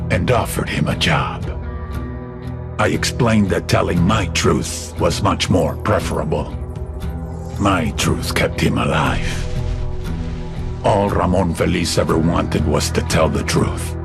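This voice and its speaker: A calm, menacing cartel boss in a game monologue. The voice is very low and creaky, laying out each short phrase slowly and deliberately, as a self-righteous storyteller. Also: male voice, full character voice. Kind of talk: dramatic cutscene monologue.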